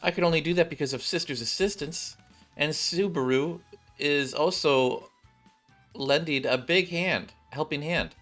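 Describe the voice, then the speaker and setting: A man voicing a gentle, polite young maid in a video game, speaking softly and earnestly. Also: fairly high pitch, unhurried, soft background music, pitched above the performer's own voice. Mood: modest, earnest.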